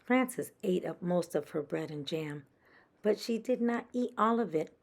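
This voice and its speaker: A woman's voice: fairly low pitch, lively intonation, measured pace, a little mellow. A woman reading a children's story aloud as a storyteller for young listeners.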